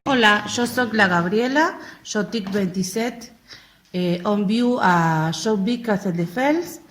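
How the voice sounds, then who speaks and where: Slow-spoken Uruguayan woman. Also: mid-range voice. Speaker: adult language student. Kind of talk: learner's self-introduction in short phrases.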